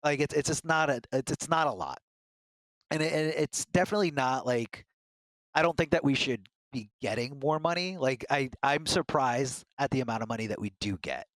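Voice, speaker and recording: A man with a middling pitch talks earnestly and candidly, telling stories in a relaxed podcast chat. The sound is dry and close, with no room echo. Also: moderate pace. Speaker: fighting game commentator, podcast guest. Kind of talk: casual podcast conversation.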